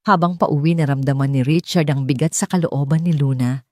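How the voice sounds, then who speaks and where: A woman's voice: deep voice, fairly quick, dark tone, dry recording, clean studio sound. A woman narrating a scripted romance audiobook.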